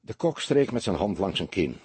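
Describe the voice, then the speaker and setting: A man narrating a detective story as an expressive, scripted audiobook reading. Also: sits low, moderate pace, slightly rough, no echo.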